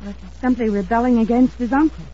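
Woman acting an anxious, husky-voiced young radio heroine. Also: fairly high pitch, fast talker, dark tone, lightly acted. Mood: anxious, earnest. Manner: earnest, dramatic, emphatic. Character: anxious, insistent young woman fearing for her fiancé. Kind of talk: old-time radio drama acting. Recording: dull lo-fi vintage radio recording.

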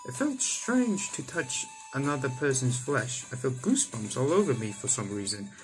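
Young adult male gaming host, mid-pitched, casually reading story text aloud with off-the-cuff remarks, music underneath. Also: very animated, moderate pace, poor audio. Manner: stumbles over text and rereads lines. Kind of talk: reading visual novel aloud with casual commentary. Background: game music.

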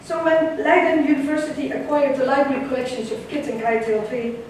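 Woman, Dutch accent, conference talk, halting with ums, distant from the mic, strong hall echo. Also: high voice, a little mellow, a little hiss or hum. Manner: measured, with frequent ums and restarts. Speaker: conference presenter. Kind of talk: conference presentation. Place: large lecture hall with audience. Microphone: room camera far from the stage. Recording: echoey lecture hall from far off.

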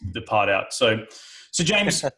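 A man's voice: lowish voice, big pitch swings, a little slow, bright, forceful tone. A young man hosting an interview over a video call from a small room at home, chatty and enthusiastic. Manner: chatty, enthusiastic, says mate. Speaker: podcast host. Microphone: headset on video call.

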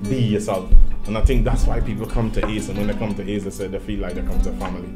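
Guy talking heartfelt about his dance company, kinda low voice, music in the back. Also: flat delivery, quick pace. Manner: earnest, emphatic, non-native phrasing. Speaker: company director. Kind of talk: behind-the-scenes interview. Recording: music playing under the voice.

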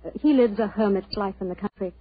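A woman's voice: medium pitch, fast, slightly rough, close to an everyday voice. An actress playing an earnest, well-bred young lady in trouble, politely pleading in 1940s radio style. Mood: anxious. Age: young adult. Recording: thin, phone-like old radio recording.

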